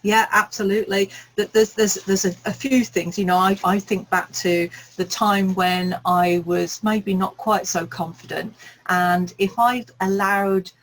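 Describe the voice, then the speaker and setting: A woman's voice: mid-range voice, fairly even intonation, a little slow, a little hiss or hum, rough recording. A middle-aged woman from Birmingham with a light Birmingham accent, a coach talking as an interview guest in an earnest, encouraging way. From Birmingham, England. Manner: earnest, encouraging, explains at length. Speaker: guest speaking and sales coach. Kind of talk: reflective interview talk.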